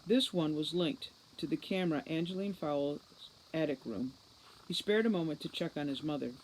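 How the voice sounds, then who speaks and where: Lady reading a kids novel out loud, expressive, bit of noise behind her. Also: deep voice, moderate pace, poor audio. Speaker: audiobook reader. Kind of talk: expressive storybook narration.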